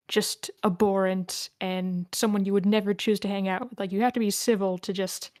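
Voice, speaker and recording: A woman's voice: medium pitch, darkish tone, clear, resonant tone, no echo, clean studio sound. A woman sharing opinions in an informal group discussion, conversational and a little tentative. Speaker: participant giving script feedback.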